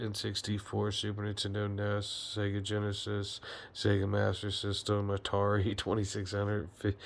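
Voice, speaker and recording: A middle-aged man giving a casual, unscripted channel update as host, in a rambling, halting way with frequent repetition. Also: lowish voice, vocal fry. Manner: rambling, halting, repeats himself. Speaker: channel host and retro game store owner.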